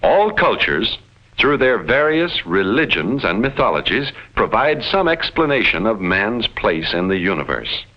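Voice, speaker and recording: A man playing a detached alien explorer, narrating in a formal, measured 1950s educational film style with precise enunciation. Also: on the low side, sing-song. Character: detached alien explorer reporting on Earth's inhabitants. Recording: dull vintage 1950s film soundtrack with music.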